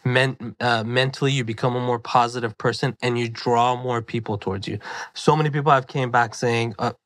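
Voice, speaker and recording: A man talks in an upbeat, affirming way, backing up the points being made with quick nods of agreement. His voice is mid-pitched and the mic sound is dry and close. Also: fairly even intonation, a bit bright, dry recording. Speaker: dentist guest. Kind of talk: casual chat. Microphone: studio mic on boom arm, headphones.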